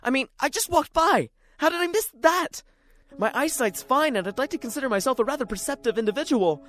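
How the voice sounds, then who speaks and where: A performer voicing an excitable, jittery library regular who tells the story in dramatic, self-questioning first person, as in a radio drama. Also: high voice, fairly quick, no room sound, voice pushed up. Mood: agitated. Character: jittery, excitable library regular narrating his own strange chase.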